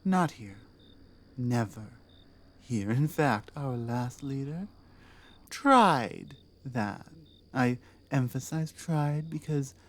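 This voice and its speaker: A woman voicing a distrustful, ruthless and seductive orc queen in an intimate ASMR roleplay, speaking deliberately and imperiously with pauses. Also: extremely low pitch, pitched below the performer's own voice, full character voice.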